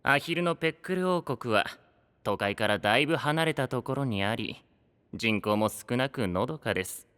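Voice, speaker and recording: An actor playing a sly, coolly polite young knight in an anime voice drama, speaking formally with a teasing, probing edge. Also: male voice, medium pitch, animated, normal speed, a bit bright, smooth, close to an everyday voice. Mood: cool, pressing. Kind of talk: Japanese anime voice drama acting. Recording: long reverb, music behind.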